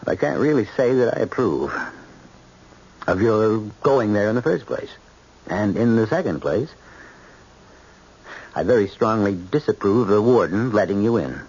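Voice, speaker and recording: A man's voice: fairly low pitch, expressive, moderate pace, long pauses, darkish tone, slightly rough. An actor playing a stern but conciliatory middle-aged American father, a judge, in an old-time radio drama. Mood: uneasy, disapproving. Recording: dull old radio broadcast with hiss.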